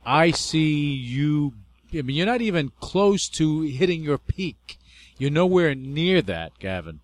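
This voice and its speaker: A man's voice: fairly high pitch, sing-song, slow pace. A man hosting an interview, chatty and enthusiastic, laughing often. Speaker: interview host. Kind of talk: casual interview talk.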